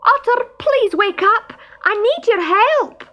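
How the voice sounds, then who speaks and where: A woman plays a little storybook stoat, a worried young male with a high voice that swoops as he frets. He sounds plaintive and pleading, full of exclamation. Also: big pitch swings, unhurried, fairly bright tone, highs cut off, heavily acted. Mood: urgent calling.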